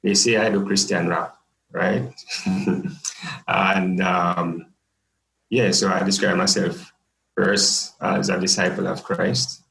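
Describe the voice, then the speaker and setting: Guest: young Jamaican man, laid-back and joking, low gravelly voice in an echoey room. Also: little pitch movement, slow pace, long pauses, a bit bright. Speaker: podcast guest. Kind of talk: casual interview chat.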